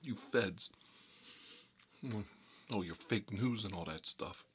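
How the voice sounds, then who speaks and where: A man's voice: on the low side, slight background noise. A man playing a boastful Italian-American mob boss in an improvised ASMR role-play, rambling and muttering between bites of food. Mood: needling, indignant. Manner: rambling, halting, muttering, talking with food in his mouth. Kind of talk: improvised ASMR character role-play, eating while talking.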